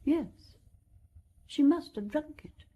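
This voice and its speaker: An actress playing a dainty, composed elderly widow in a radio drama, earnest and precise with dramatic pauses. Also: female voice, sits high, animated, lo-fi sound, a little hiss or hum. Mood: grave, recounting. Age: older. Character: dainty, genteel, composed old French widow.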